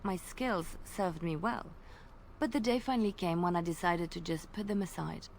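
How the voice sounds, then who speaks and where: A woman voicing a graceful female bard in a video game, telling tales in a measured, lilting way. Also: on the low side, a little hiss or hum, natural voice. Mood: storytelling. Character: graceful female bard, gentle devout storyteller. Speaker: game character. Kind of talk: voiced game dialogue.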